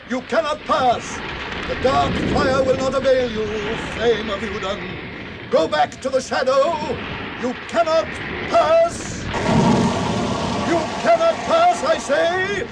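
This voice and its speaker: An older actor playing a weary but commanding old wizard in a radio drama, grand, theatrical and authoritative. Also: male voice, very noisy, heavily acted. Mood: defiant, thundering. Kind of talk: 1980s radio drama acting. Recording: radio drama with loud rumbling effects.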